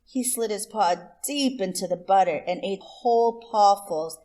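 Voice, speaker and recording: A woman reading a picture book aloud to children as host, expressive, with deliberate emphasis on words. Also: mid-range voice. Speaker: children's story reader and host.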